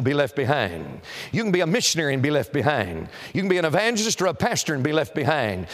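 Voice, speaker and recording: Male preacher, high voice, evangelistic sermon, emphatic, declamatory, solemn warnings, addressing a congregation. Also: a bit bright. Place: auditorium, preaching to a seated congregation.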